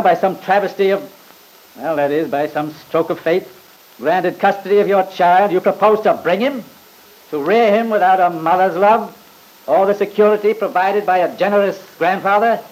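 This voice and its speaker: A man's voice: sits high, close to an everyday voice, low-quality recording. A man playing a pompous, badgering attorney in an old radio drama, formal and sarcastic. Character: scornful, badgering male attorney, pompous and theatrical.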